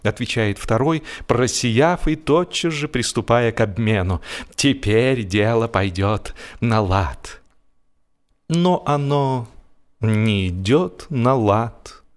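A man reading humorous literary prose as an audiobook narrator, with a theatrical, expressive delivery. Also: medium pitch, slow.

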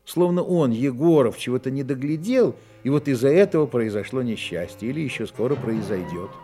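A man narrates a radio play in a dark, mellow voice of medium pitch. His delivery is dramatic and highly varied in intonation. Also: lively intonation, fairly quick, soft background music.

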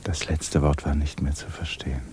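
A man narrating a 1950s radio drama in a hushed, measured, grave and restrained manner. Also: a bass voice, level tone. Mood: somber. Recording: noisy 1950s radio recording.

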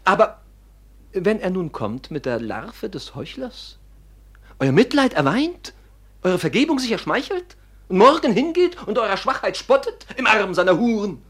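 An actor playing a scheming, envious younger son in a classical radio play, theatrical and declamatory, with an oily show of devotion. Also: male voice, high voice, very animated, moderate pace, a bit bright, husky, full character voice. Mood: urgent persuading. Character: scheming, envious younger son, falsely pious, coldly ambitious.

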